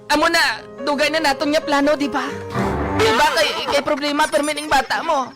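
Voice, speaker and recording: A woman's voice: sits high, steady tone, moderate pace, close to an everyday voice. A woman playing a jealous, pleading live-in girlfriend in a comic radio drama, plaintive and nagging, repeating herself. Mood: complaining. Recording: loud music behind the voices.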